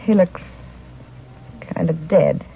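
A woman's voice: on the low side, slow pace. A woman acting a hardened, matter-of-fact middle-aged frontier wife in a 1950s radio western, plain-spoken and unruffled. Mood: calm, resigned. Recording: tinny, noisy vintage radio recording.

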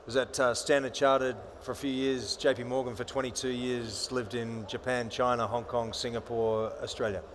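A man's voice: medium pitch, little pitch movement, moderate pace, hardly any pauses, background noise. A man speaking as a panelist at a conference, assertive and fluent, with small restarts. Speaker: panelist, banker. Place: large conference stage with audience.

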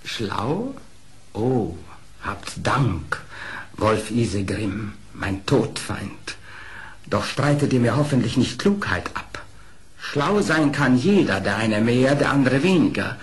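An actor playing a sly, proud, eloquent fox in a radio play, speaking in a theatrical, deliberate way. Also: male voice, medium pitch, big pitch swings. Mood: smug, sly.

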